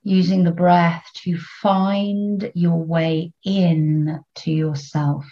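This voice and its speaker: Woman doing guided meditation, soft mellow tone, super slow, kinda dull muffled recording. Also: fairly low pitch, level tone. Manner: soothing, unhurried, long pauses between short phrases.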